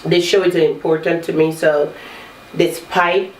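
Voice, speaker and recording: A woman hosting a talk show, congested from a cold, coaching listeners in a slow, measured way. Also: sits low, fairly even intonation. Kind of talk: reflective self-help talk. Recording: roomy echo, distant mic, faint noise.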